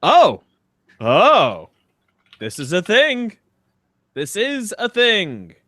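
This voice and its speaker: An amused man with a slightly high, very animated voice, co-hosting a casual comedy podcast. He rambles along easily and slips between loose chat and mock-dramatic reading. The sound is close and dry. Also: extremely slow, dry recording. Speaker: podcast co-host.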